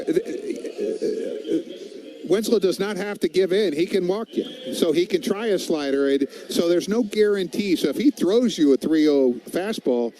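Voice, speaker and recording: A man's voice: high-pitched, warm tone, rough recording. A man giving informal, opinionated color commentary on a baseball game in a conversational way. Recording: faint background noise.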